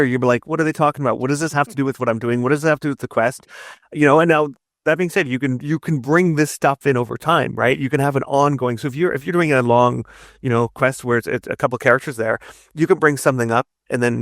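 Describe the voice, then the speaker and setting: Male interview guest, mid-pitched, emphatic explainer who tags points with "right?", recorded dry. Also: creaky, no room sound. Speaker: guest narrative designer and game writer. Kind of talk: conversational interview advice.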